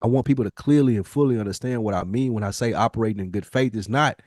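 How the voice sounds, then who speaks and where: A man's voice: fairly low pitch, fast, a little mellow, dry recording, studio quality. An American man co-hosting a podcast, talking casually and reflectively in an earnest, emphatic, colloquial way and repeating phrases as he builds his point. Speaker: podcast co-host.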